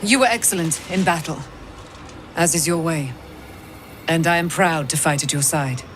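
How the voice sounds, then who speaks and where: A woman's voice: sits low, moderate pace, lightly acted. A woman playing a proud, indomitable immortal paladin in a fantasy game, with formal, declamatory delivery. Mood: weary sadness. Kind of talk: dramatic video game acting. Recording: clear ambient noise.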